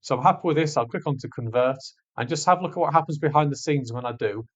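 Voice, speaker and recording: A man presenting a software tutorial, explaining step by step in an informal, conversational way. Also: on the high side, fast, muffled recording. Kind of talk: screencast walkthrough, conversational instruction. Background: voice-over on a screen recording.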